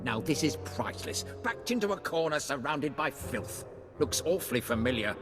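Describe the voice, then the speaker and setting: A man's voice: on the high side, animated, moderate pace, natural voice. A man playing a haughty, sardonic warrior in a video game, speaking archly and craving slaughter. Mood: sardonic, disdainful. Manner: arch, sardonic. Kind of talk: video game character acting. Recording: long cavernous reverb, music underneath.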